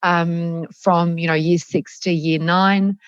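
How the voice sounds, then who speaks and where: A woman from France with a fairly low voice answers interview questions, thinking aloud with frequent ums and false starts. The sound is muffled and dry, like a remote video call. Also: flat delivery, slow pace, clean tone, no room sound. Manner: hesitant, many ums and restarts. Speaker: guest, company chief executive.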